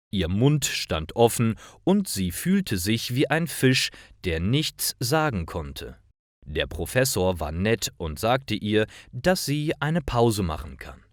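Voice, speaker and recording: A man narrating simple scripted stories for language learners, reading aloud in a deliberate, carefully articulated way. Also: fairly low pitch, no room sound, clean studio sound.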